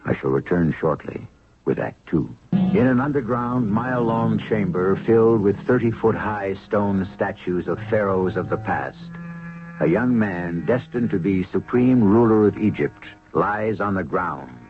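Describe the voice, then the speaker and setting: A man's voice: on the low side, expressive, unhurried, music in the background. A man hosting and narrating a radio drama with scripted, dramatic delivery. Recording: narrow-band vintage radio recording.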